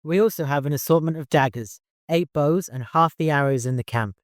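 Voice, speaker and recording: A man voicing a dutiful, experienced fighter with a formal, measured manner in an audiobook. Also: a little high, no room sound, studio quality, close to an everyday voice. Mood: dutiful, serious.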